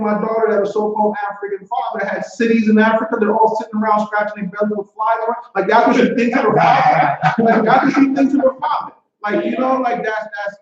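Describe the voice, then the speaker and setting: A man joins a class discussion in a halting, filler-heavy way. His voice is quite high for a man. The recording is strongly echoey and dull. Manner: halting, filler-heavy, repeats "like, you know". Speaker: class participant.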